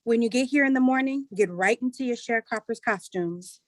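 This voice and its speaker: A woman's voice: a little high, brisk, no room sound, close to an everyday voice. A woman playing a dutiful grown daughter who steps up to direct, in a staged play reading. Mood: brisk, determined. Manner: earnest, organizing.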